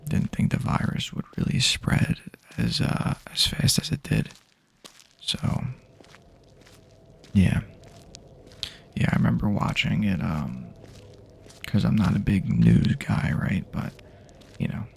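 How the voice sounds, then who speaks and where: A man improvising an ASMR roleplay monologue in a soft, breathy half-whisper, rambling hesitantly with frequent pauses. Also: low-pitched, sing-song. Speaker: ASMR performer playing a companion on a post-apocalyptic journey. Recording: faint background ambient noise.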